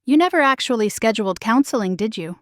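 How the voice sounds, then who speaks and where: A woman voicing a calm, firm husband. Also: fairly high pitch, no room sound, clean studio sound, lightly acted. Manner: steady, measured and firm.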